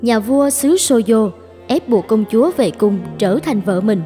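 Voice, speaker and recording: Woman, fairy tale narrator, calm storytelling, high pitch. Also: measured pace, long reverb tail, faint music behind.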